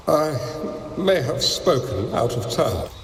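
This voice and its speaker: An actor playing a courteous, venerable old master in a video game, speaking in a stately, gracious manner through an echoing effect. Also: male voice, mid-range voice, big pitch swings, slow pace, slight background noise. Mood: reflective, courteous. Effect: echoing reverb. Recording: echoing long reverb, faint music.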